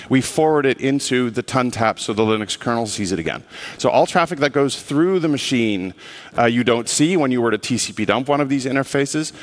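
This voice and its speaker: A man from the Netherlands giving a casual, joking technical talk on a conference stage. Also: mid-range voice. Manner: casual, joking, quick asides. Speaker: conference speaker.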